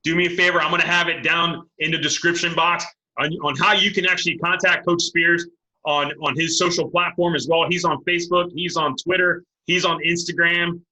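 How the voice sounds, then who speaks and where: A man hosting a casual interview, speaking earnestly to viewers in a halting, repetitive way with emphatic appeals. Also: a little high, level tone, pushed and bright, low-quality recording. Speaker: host, sports recruiting coach.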